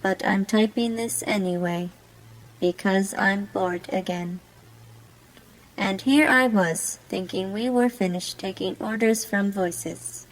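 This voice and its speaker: A woman playing a bored, fatalistic young troll girl in an amateur monologue, speaking formally and deliberately. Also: medium pitch, pitched below the performer's own voice, poor audio. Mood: bored, resigned. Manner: formal, deliberate, resigned wording.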